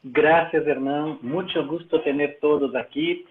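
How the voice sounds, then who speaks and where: A fairly high-voiced man with a Brazilian Portuguese accent, presenting a webinar over a video call. He speaks a learned second language, pausing and restarting often. The sound is thin and tinny, like a phone line. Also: fairly even intonation. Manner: hesitant, many fillers and restarts in a second language.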